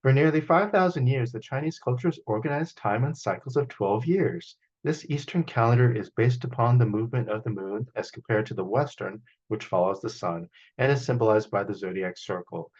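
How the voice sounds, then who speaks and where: A man reading a children's picture book aloud as a storyteller, in an expressive manner, over a video call. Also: mid-range voice, a little fast, few pauses, breathy edge, highs cut off. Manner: expressive, acts out sound effects.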